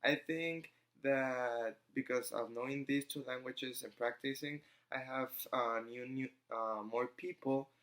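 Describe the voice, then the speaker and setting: A young man speaks English, his tongue showing through. He talks at an unhurried, slow pace and often stops to search for words with ums. His voice sits at an ordinary middle pitch. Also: steady tone, a bit bright. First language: Spanish. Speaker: student vlogger. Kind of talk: casual personal vlog.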